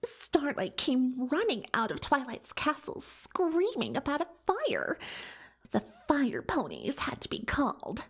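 Haughty female unicorn magician, high voice, slow delivery, theatrical, boastful, cartoon-pony ASMR storytelling. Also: huge pitch swings, exaggerated voice. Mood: wry, dismissive. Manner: haughty, theatrical, third-person self-reference, conspiratorial asides. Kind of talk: ASMR roleplay monologue, storytelling.

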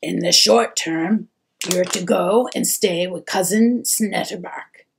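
A woman's voice: fairly low pitch, takes it slowly, creaky, slight background noise. A woman voicing an anxious, loving wood troll mother in a storybook, tearful and emphatic. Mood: resigned.

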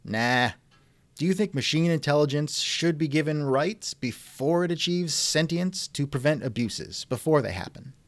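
A man's voice: on the high side, lively intonation, normal speed, no echo. A man hosting a conversational interview, asking questions in a wry, skeptical manner. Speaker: interviewer, host. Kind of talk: reenacted text-chat interview, conversational.

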